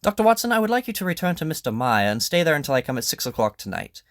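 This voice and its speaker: A confident, incisive detective acted by a man from an audio drama. He speaks in a raised, very animated voice with a commanding, probing edge. The delivery is theatrical and declarative, recorded close and dry. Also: on the high side, very fast, no echo, voice pushed up. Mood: brisk, decisive.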